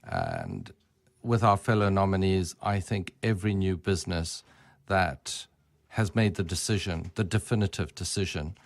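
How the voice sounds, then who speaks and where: A man being interviewed on the radio, speaking formally with ornate, deliberate wording. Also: low voice, sing-song, gravelly, no room sound, studio quality. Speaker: interview guest, winery business owner.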